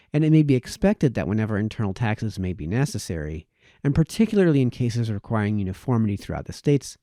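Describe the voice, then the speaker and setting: A man reading a formal historical essay aloud as narrator, in a deliberate, expository manner with varied emphasis. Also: medium pitch, mellow, no room sound, clean studio sound. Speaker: reader, narrator.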